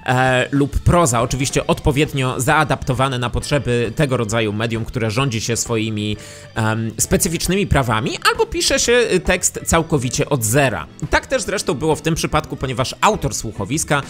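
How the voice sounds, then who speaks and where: A man's voice: medium pitch, lively intonation, moderate pace, fairly bright tone. A man in his thirties presenting a scripted vlog to camera on a close studio microphone. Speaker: YouTube host. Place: small decorated home studio. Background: faint music.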